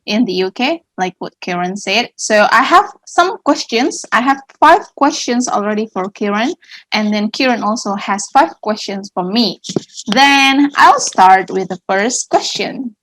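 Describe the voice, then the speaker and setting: A woman with an Indonesian accent who speaks slowly and carefully, often pausing on fillers as she hosts a friendly chat. Her voice is recorded close and dry, with no room sound around it. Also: mid-range voice, expressive, fairly bright tone, dry recording, low-quality recording. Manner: friendly, hesitant, with many fillers and "okay"s. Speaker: English and Indonesian teacher. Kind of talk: casual Q&A chat.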